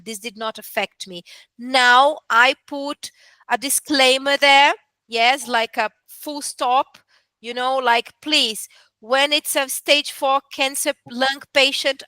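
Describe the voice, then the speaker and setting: A woman from Brazil, a Portuguese native speaker, answers interview questions about her interpreting work. Her voice is high and bright, and the sound is dry and close. She talks earnestly and keeps adding "you know". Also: no echo. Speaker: medical interpreter. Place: online video call.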